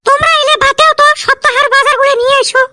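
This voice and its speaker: A woman voicing a jealous, complaining village wife in a comedy cartoon, with a western Assam accent and an artificially raised pitch. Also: fairly even intonation, fast talker, pushed and bright, no echo, studio quality, exaggerated voice. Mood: bossy. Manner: complaining, melodramatic.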